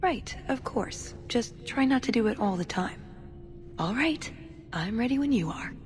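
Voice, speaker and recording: A determined young elf talks with dry wit and earnest purpose. Her voice sits on the high side, with faint music behind, as in a fantasy game cutscene. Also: lively intonation, measured pace. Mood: serious, guarded. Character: wry, determined young female elf operative. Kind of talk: video game dialogue acting.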